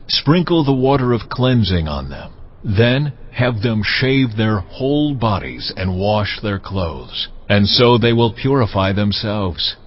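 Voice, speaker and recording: A male divine figure, low voice, measured, commanding, solemn, audio drama, dull recording. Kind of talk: dramatised scripture reading.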